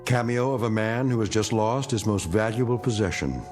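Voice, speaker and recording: An actor as the narrator of an eerie mystery tale, delivering scripted lines in an ominous, portentous, deliberate manner. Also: male voice, lowish voice, darkish tone, faint music behind, lightly acted.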